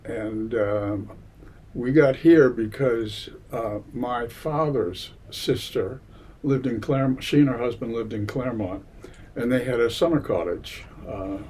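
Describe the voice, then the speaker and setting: A man in his seventies from Massachusetts, giving an oral history interview in a library, unhurried and full of hesitations. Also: mid-range voice, warm tone, a little hiss or hum. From Massachusetts, USA. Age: 70s. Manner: unhurried, many hesitations and fillers. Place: public library.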